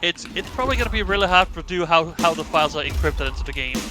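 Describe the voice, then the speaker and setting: Danish guy, english not his first language, chatting about games, voice a bit high, music behind. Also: expressive, fast talker. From Denmark. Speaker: stream guest. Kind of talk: casual gaming chat.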